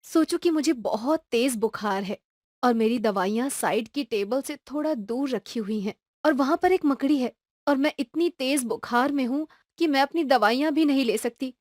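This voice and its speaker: A woman's voice: high voice, moderate pace, no echo, clean studio sound. A woman voicing a caring, firm mother. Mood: patient. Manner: warm, patient explaining. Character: caring young mother, firm but loving.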